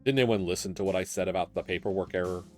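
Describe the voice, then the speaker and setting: A man's voice: low voice, very fast, darkish tone, soft background music, natural voice. A man voicing an earnest, exasperated dog politician in a visual novel, indignant and complaining.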